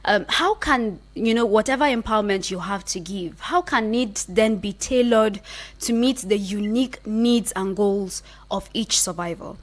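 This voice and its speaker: A woman's voice: mid-range voice, measured pace. A woman interviewing on radio in an attentive, conversational way. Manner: attentive, frequent short affirmations.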